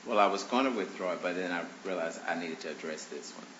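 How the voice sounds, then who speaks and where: A man arguing his own motions before a judge in a courtroom, speaking haltingly with many fillers and restarts, his voice congested from allergies. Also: sits low, a bit bright. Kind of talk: courtroom argument. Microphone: courtroom microphone on a stand. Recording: roomy, dull courtroom recording with faint hiss.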